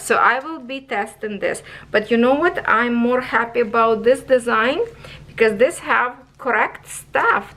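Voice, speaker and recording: Slow-talking woman reviewing craft kits. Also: on the high side, a bit bright, rough recording. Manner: chatty, keeps checking in with viewers; non-native English phrasing. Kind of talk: casual product review.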